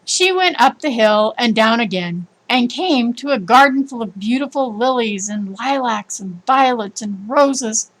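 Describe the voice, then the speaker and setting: A woman with a fairly high-pitched voice reads a scripted story. Her delivery is expressive and emphatic, in the manner of storybook narration. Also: unhurried, few pauses, rough recording. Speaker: storybook reader.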